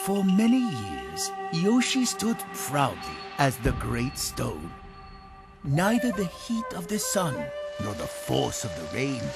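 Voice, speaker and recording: A storyteller giving a scripted folktale narration with dramatic, deliberate phrasing. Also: mid-range voice. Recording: music behind the narration. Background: prominent music.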